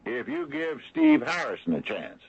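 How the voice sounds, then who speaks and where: A man's voice: very high voice, wildly swooping pitch, normal speed, vocal fry, a loud crowd, heavily acted. A man playing a skeptical, loyal small-town American sheriff in a radio drama, folksy and a little hesitant. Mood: sardonic. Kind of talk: 1980s radio drama acting. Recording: dull, noisy old radio broadcast.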